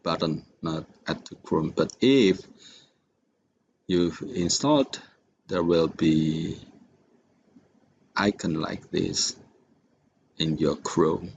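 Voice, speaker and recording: A man with an Indonesian accent walks through a software tutorial in a low, creaky voice. He speaks haltingly and at a slow pace, feeling his way from step to step. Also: muffled recording, a little hiss or hum. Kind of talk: unscripted screen-recorded tutorial.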